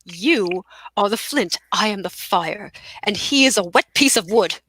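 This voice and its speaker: A woman playing a suave, grandiose gentleman suitor with a pompous, theatrical delivery in a stage comedy read. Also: mid-range voice, lively intonation, a little slow, husky, low-quality recording. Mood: grandly seductive.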